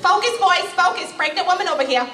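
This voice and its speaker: A woman's voice: extremely high pitch, animated, fast talker, pushed and bright, slight background noise, heavily acted. A woman playing an assertive pregnant mother in a live old-time radio show. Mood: bossy. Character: assertive pregnant mom with cravings. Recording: echoey hall from far off.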